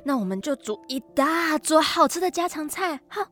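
A woman's voice: high-pitched, animated, moderate pace, fairly bright tone. A woman acting as a carefree, coaxing young dorm mate in an audio drama, speaking Taiwanese Mandarin. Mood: coaxing, moved.

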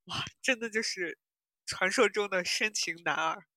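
A woman's voice: medium pitch, normal speed, pushed and bright, dry recording. A woman hosting a podcast in casual fan chat, swooning and emphatic as she talks. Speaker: podcast host. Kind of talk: casual fan chat about audio dramas.

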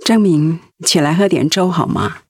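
An actress playing a gentle, anxious wife who cares for her sick husband in a Mandarin radio drama. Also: deep voice, lively intonation, moderate pace, breathy edge, no echo, studio quality, exaggerated voice. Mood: gentle, caring. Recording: music under the voice.

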